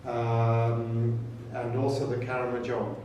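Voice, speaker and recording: An older man from Kenya, a native Swahili speaker, giving an informal welcome talk to students in a measured way, in short phrases with hesitations. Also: medium pitch, completely flat delivery, warm tone, far from the mic, muffled recording, slight background noise. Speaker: program director welcoming students. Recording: echoey room recorded from afar.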